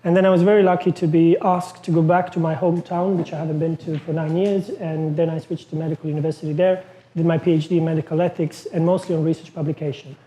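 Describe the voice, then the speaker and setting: A man's voice: fairly high pitch, steady tone, fast talker, mellow. A man in his thirties from Croatia, not a native English speaker, giving a loose, chatty conference talk as a lecturer. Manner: chatty, loose phrasing with fillers. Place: lecture room. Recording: echoey lecture room.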